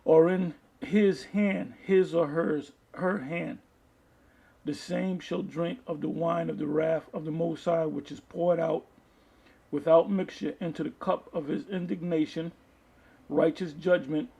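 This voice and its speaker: A man preaching informally online, reading aloud and commenting in a halting way, often restarting his phrases. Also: on the high side, fairly even intonation, creaky, mellow, breathy edge. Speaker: preacher reading articles and scripture to an online audience.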